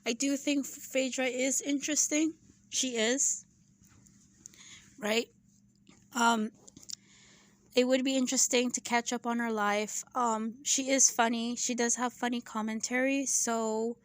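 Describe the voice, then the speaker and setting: A woman giving her casual opinions in a vlog, chatty and full of "right?" asides, clearing her throat and losing her voice. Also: on the high side, fairly bright tone, dry recording. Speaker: YouTube commentator.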